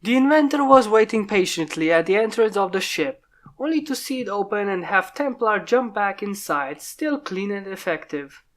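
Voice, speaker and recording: A woman reads a fan story aloud in a steady, measured voice. Her pitch sits in an ordinary middle range. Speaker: fan fiction reader. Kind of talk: storybook narration.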